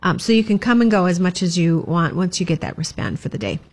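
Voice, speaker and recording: Brief: lowish female chamber rep, upbeat, promo tone, lots of ums. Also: animated, fast, darkish tone. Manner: upbeat, filler-heavy, promotional. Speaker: chamber of commerce guest. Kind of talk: community announcements in casual chat.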